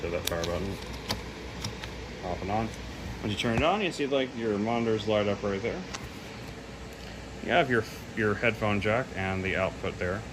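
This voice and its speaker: A man's voice: lowish voice, moderate pace, long pauses. A man giving a casual, unscripted equipment demo in a loose, somewhat hesitant way, readily admitting what he doesn't know. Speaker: presenter demonstrating audio equipment. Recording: clearly noisy home recording.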